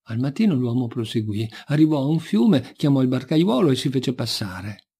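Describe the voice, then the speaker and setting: A man reading a folk tale aloud as an expressive storytelling narrator. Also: medium pitch, normal speed, breathy edge.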